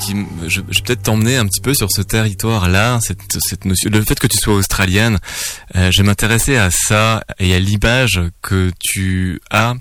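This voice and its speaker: Male interviewer, lowish voice, measured, reflective, dry close sound. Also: steady tone, fairly bright tone, no echo. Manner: measured, reflective, some filler hesitations. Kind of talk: interview questions.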